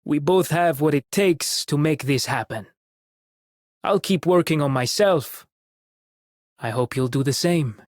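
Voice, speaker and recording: An actress playing a composed, ambitious and idealistic reformer in a game. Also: female voice, low-pitched, a little slow, long pauses, a little mellow, no room sound, clean studio sound. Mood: resolute. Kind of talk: scripted game character line.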